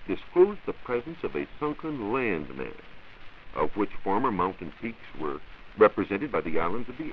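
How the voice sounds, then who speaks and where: An American man giving a formal, measured science talk as a reporter in a scripted 1940s radio broadcast. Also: low-pitched. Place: radio studio. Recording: dull, hissy 1940s radio recording.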